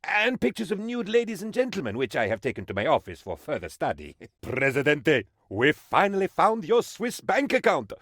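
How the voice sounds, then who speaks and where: An eager, bumbling dictator's aide from a comic video game, speaking. His pitch swoops about excitably as he reports to his leader with shameless, theatrical officialdom. The recording is dry and close. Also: normal speed, few pauses, no echo, exaggerated voice. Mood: pompous, enthusiastic.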